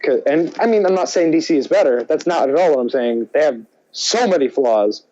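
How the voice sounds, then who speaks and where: A man's voice: a little high, lively intonation, brisk, warm tone, muffled recording. A man co-hosting a podcast in casual chat, rambling and opinionated as he goes. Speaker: podcast co-host.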